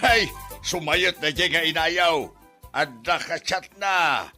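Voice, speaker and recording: A man's voice: a little high, takes it slowly, over music. A man playing a jealous, whiny, blustering married father in an Ilocano comedy drama, exclaiming and stammering when flustered. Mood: indignant, jealous. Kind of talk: comedy radio-style drama acting.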